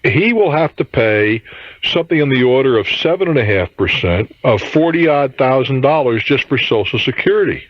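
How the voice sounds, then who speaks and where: Blunt, husky male radio advice host. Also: medium pitch, expressive, normal speed. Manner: blunt, interjecting, argumentative. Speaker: radio call-in host giving money advice. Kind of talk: phone-in advice, unscripted conversation. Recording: roomy, dull lo-fi radio tape.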